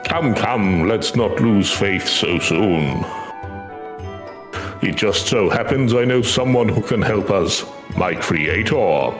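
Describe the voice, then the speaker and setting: A man's voice: on the low side, expressive, slow. A man voicing a courteous, earnest magical familiar in a video game, speaking formally and eloquently. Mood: courteous, composed. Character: courteous, earnest male magical familiar who hunts nightmares. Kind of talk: video game quest dialogue read aloud. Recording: echoey voice over game music.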